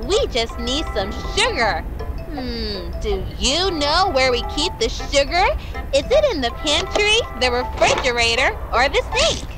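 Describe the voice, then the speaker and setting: Bossy, bright-mannered cartoon little girl, very high and animated, teaching young viewers over music. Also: heavily acted. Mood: bossy, instructive. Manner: sing-song, deliberate, addressing young viewers. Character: cheerful, bossy little cartoon girl hosting a children's show. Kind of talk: children's educational cartoon presenting, addressing the viewer.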